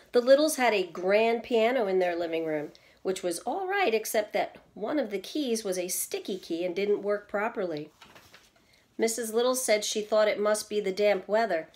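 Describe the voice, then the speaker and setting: A woman's voice: medium pitch, a little mellow. Middle-aged woman reading a children's story aloud. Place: indoors at home, seated in an armchair in a small room.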